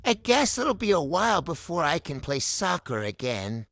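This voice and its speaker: A woman's voice: fairly low pitch, very animated, moderate pace, pitched below the performer's own voice. A woman voicing a scared, earnest young boy turtle who speaks hesitantly and with a wobble in a storybook. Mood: groggy, sighing.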